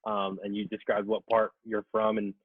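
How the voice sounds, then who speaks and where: A man's voice: fairly low pitch, completely flat delivery, normal speed, husky, no echo. A man from Hawaii with an American accent, co-hosting a podcast and asking questions casually over a narrow, phone-band connection. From Hawaii, United States. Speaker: podcast co-host. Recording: dry, narrow radio-like phone-band sound.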